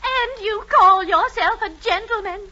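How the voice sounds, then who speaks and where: A woman's voice: very high-pitched, a little slow, pushed and bright, exaggerated voice. An actress playing a genteel, composed lady suspect in an old-time radio drama, with a refined, imperious manner. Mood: indignant. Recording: dull, noisy vintage radio recording.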